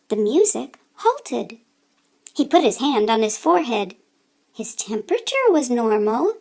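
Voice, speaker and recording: A woman reading a children's story aloud with deliberate, dramatic emphasis. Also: sits high. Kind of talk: expressive read-aloud narration for children.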